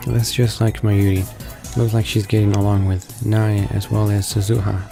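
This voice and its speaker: A man reading a visual novel's narration aloud as a let's player, halting and correcting himself. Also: fairly low pitch, flat delivery, gravelly. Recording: game music behind the voice. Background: visual novel background music.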